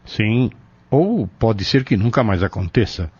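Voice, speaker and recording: Wise Brazilian adviser, deep, animated yet measured, sure of himself, dull radio-play audio. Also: male voice, slightly rough, dry recording, full character voice. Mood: firm, assured. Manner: firm, measured, didactic. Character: wise, assured pharmacist and spiritual adviser. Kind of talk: Brazilian radio drama acting.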